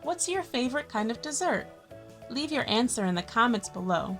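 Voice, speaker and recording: A woman's voice: a little high, big pitch swings, moderate pace, soft background music. A woman hosting a children's story time, reading a picture book expressively with friendly asides and stressed key words.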